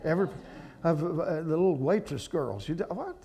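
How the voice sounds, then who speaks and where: A man preaching to a church congregation, animated and rambling, with frequent restarts. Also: a little high, normal speed. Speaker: guest speaker at a church. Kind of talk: sermon to a congregation.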